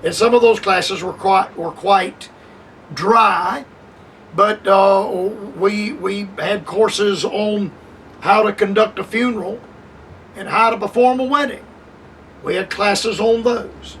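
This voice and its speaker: Older man, radio preacher, high voice, slightly distant mic, faint hiss, slow deliberate repetitions. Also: fairly even intonation, a bit bright. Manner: measured, repeats phrases and restarts with many uh. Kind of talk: radio Bible teaching.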